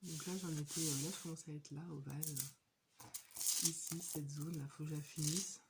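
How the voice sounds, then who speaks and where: A woman in her thirties with a cold, chatting casually and hesitantly about her crafting hobby, with many pauses and fillers. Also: low voice, steady tone, very noisy. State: has a cold. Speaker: hobby vlogger. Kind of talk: casual show-and-tell chat.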